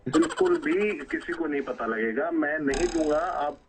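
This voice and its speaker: A man's voice: fairly high pitch, wildly swooping pitch, normal speed, gravelly, background noise. A man calling a radio show as a fan, talking casually, earnestly and insistently, repeating himself over the telephone. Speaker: phone-in caller, radio fan. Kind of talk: casual, earnest phone talk. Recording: narrow, muffled phone line.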